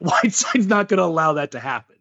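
A man's voice: a little high, lively intonation, fast, fairly bright tone, dull audio. A man co-hosting a sports podcast, giving casual, opinionated basketball analysis and repeating words emphatically as he reasons.

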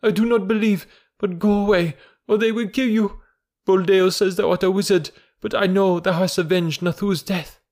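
A man's voice: high-pitched, steady tone, normal speed, darkish tone, voice pushed up. A man voicing a distressed, loving village mother in a storybook reading, pleading urgently. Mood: anguished pleading.

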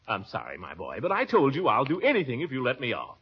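An actor playing a pompous, wheedling middle-aged uncle in theatrical 1940s radio comedy style. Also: male voice, medium pitch, wildly swooping pitch, brisk, breathy edge, exaggerated voice. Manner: theatrical, swooping between bluster and coaxing. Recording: muffled vintage radio recording.

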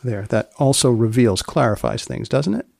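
A man presents a podcast in a mock-serious, deadpan manner. His mid-pitched voice has some fry in it, and he is recorded very dry. He phrases things wordily, mixing scripted commentary with wry banter. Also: moderate pace, no room sound. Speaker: podcast host.

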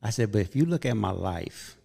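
A man in his fifties with a low, gravelly voice hosts a podcast. He talks in a casual, earnest and upbeat way, telling stories about his life with steady conviction. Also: brisk. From Southern California. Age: 50s. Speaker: podcast host.

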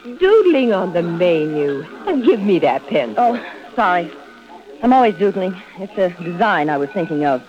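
An expressive society wife in a vintage radio play. Her pitch leaps and swoops dramatically as she speaks with emphasis. The recording is dull and lo-fi, like an old broadcast. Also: normal speed, faint music behind. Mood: fretful. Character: anxious, fidgety wife or her chatty woman friend. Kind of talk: 1940s radio drama acting.

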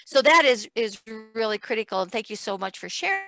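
A woman's voice: a little high, lively intonation, brisk, a bit bright. A woman hosting a conversational interview show, speaking in an emphatic, motivational way. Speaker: talk show host.

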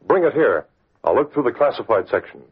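An actor playing a decisive, authoritative masked crime-fighter in an old radio drama, with clipped delivery. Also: male voice, medium pitch, sing-song, fairly quick, lightly acted. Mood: thoughtful. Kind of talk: 1940s radio drama acting. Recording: tinny, scratchy old radio recording.

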